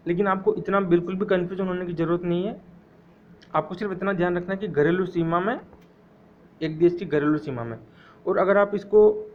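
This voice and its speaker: A man with a fairly high voice delivers an exam-prep economics lecture. He goes over definitions slowly, repeating key phrases so his students can memorize them. The sound is thin and phone-like, with a faint hiss underneath. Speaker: economics teacher.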